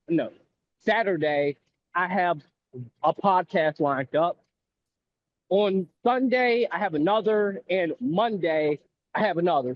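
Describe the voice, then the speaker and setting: A man's voice: high voice, no room sound. A man on a call, upbeat, listing things off. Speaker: speaker sharing a win. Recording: dull, dry call audio.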